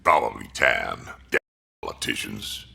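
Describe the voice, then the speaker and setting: An actor playing a gruff old alien grandfather and colony veteran in a video game, blunt and wry with a very gravelly voice. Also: lively intonation, measured pace, pushed and bright, full character voice. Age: older. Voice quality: very gravelly, rumbling. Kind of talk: video game dialogue acting.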